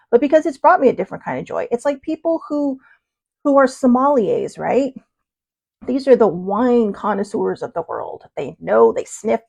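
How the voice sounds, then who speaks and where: A woman's voice: a little high, gravelly, a little mellow, breathy edge. A woman in her fifties hosting a casual livestream, chatty and rambling, with lots of fillers and restarts as she answers viewers. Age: 50s. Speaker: host.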